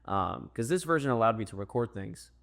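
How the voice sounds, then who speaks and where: A man's voice: mid-range voice, expressive, brisk, gravelly, fairly bright tone. A man co-hosting a podcast in casual chat, talking in a loose, rambling, joking way. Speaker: podcast co-host.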